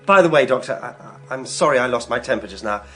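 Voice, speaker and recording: A man's voice: mid-range voice, animated, fast talker, fairly bright tone, faint music behind, close to an everyday voice. An actor playing a hot-tempered, fretful British fiancé in a radio drama, blurting out his words. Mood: contrite.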